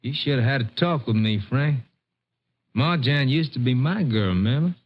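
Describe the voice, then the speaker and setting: An actor playing a menacing, greedy, resentful farm brother with an insolent rural Texan drawl in an old radio western. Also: male voice, medium pitch, lively intonation, moderate pace, lightly acted. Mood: needling. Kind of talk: vintage radio western drama acting. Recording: muffled vintage radio recording.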